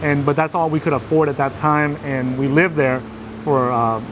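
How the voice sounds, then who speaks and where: Man from Vietnam, halting interview storytelling. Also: a little high, steady tone, background noise. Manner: hesitant, many fillers and restarts. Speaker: interview guest.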